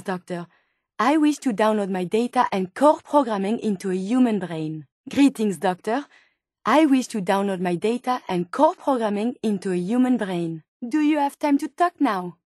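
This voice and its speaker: An earnest, curious robot scientist from a video game, with a distinct French accent. Her voice is high and very dry, close and without room sound. She phrases everything formally and courteously, choosing precise words. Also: expressive, normal speed, darkish tone, no echo. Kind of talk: video game character dialogue.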